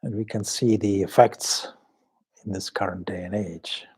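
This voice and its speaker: A man leading a guided meditation as a swami, speaking solemnly and measuredly in short phrases with long pauses. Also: on the low side, fairly even intonation, darkish tone, slightly rough. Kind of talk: spiritual talk and guided meditation.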